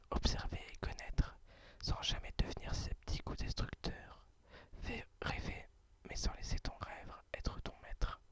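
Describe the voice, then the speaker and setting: A whispered ASMR host reading poetry and chatting about books in a halting, informal way, sex unclear. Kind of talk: whispered ASMR poem reading and book chat.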